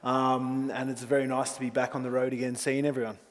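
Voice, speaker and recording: A man's voice: medium pitch, little pitch movement, fairly quick. A man with an Australian accent giving an informal conference talk in a chatty, self-deprecating manner. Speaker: corporate communications manager and conference speaker. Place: conference hall, on stage. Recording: echoey large hall sound.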